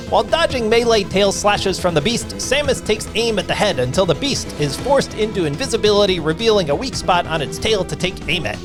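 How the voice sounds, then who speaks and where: Male gaming voice-over host, high and animated, scripted but casual, music bed loud behind him. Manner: lively, self-deprecating, casual asides.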